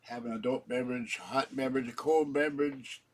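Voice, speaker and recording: A middle-aged man from Pittsburgh, chatting in a meandering way on his vlog, with a husky, scarred-sounding voice and frequent throat clearing. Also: medium pitch, fairly even intonation, brisk. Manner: meandering, chatty, warm sign-offs to viewers. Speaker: vlogger. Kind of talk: casual rambling vlog chat.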